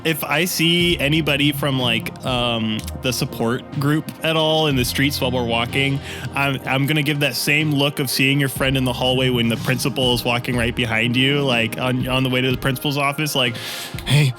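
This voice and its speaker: A man's voice: medium pitch, steady tone, normal speed. A man chatting casually as a player in a tabletop game, describing what his character does. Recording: music under the voice.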